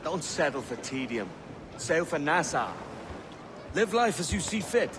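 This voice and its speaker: A man's voice: a little high, sing-song, a little slow, noisy background. An actor playing a brash, blunt young male pirate in a dramatic video game. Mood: cocky, easygoing. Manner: cocky, blunt, rough-edged.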